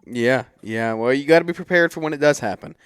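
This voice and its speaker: Fast-talking man hosting a casual podcast. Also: medium pitch, smooth, dry recording, studio quality. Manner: effusive, encouraging, informal. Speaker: podcast host.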